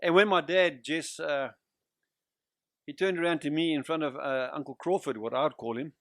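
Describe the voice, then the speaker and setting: Older southern African man, higher-pitched voice. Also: normal speed, a bit bright, dry recording, studio quality. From Central Africa (Zimbabwe, Zambia region). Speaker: guest pastor and evangelist. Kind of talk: personal testimony in an interview.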